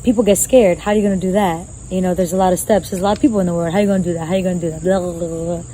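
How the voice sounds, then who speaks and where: A young woman guest on a podcast, talking loudly and casually with lots of slang and "you know what I'm saying.". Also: lowish voice, steady tone, rapid-fire, a little hiss or hum, poor audio. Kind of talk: casual conversational interview. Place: outdoor gazebo with crickets.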